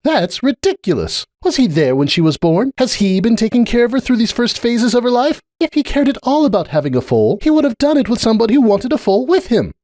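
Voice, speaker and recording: A defensive, indignant mother pony from a cartoon fan story, performed by a man. Her voice is lifted far above normal male pitch and moves animatedly, with a curt, stubborn, dismissive manner. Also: big pitch swings, fairly quick, hardly any pauses, darkish tone, dry recording, studio quality.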